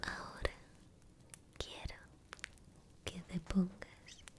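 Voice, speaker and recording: Very slow whispering woman, ASMR guide. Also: on the low side. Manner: slow, soothing, repeats words softly. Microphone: close microphone, stroked at times.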